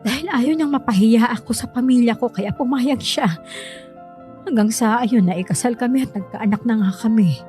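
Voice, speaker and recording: A gentle middle-aged mother in a radio drama, played by an actress, mellow and soft-spoken over background music. She pleads sorrowfully with her grown son, hesitant as if confessing. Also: on the high side, normal speed, lightly acted.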